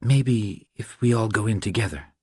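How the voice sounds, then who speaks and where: Man voicing a soft, husky, hesitant mother. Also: lowish voice, moderate pace, dry recording, studio quality, voice pushed up.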